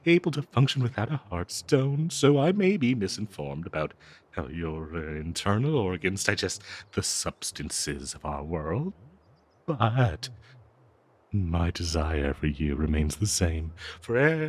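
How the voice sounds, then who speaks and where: Slow, low, possessive lovesick cursed dagger played by a man. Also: breathy edge, exaggerated voice. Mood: apologetic, devoted. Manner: slow, theatrical, doting yet menacing, halting with hesitations. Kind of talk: audio roleplay monologue addressed to the listener.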